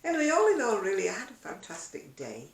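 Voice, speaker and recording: A woman's voice: medium pitch, huge pitch swings, fast, creaky. An older woman chatting casually to camera as a video blogger, rambling along with self-deprecating asides in an echoey room. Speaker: video blogger and craft seller.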